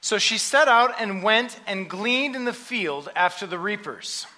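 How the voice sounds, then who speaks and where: A man preaching a sermon in short phrases, pausing so an interpreter can translate. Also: high voice, animated, bright, forceful tone. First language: English. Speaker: pastor. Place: church sanctuary.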